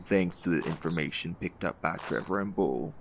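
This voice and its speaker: An actor playing a calm, authoritative vigilante leader in an audio roleplay, with a folksy, firm way of talking. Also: male voice, low-pitched, normal speed, gravelly, a little hiss or hum. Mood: briefing, measured.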